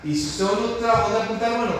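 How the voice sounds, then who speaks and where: Costa Rican man, high voice, emphatic preacher, very echoey church hall. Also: fairly quick. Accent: Costa Rican Spanish. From Costa Rica. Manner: emphatic, asks the congregation questions. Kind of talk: church teaching talk with prayer. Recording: very echoey hall with faint noise.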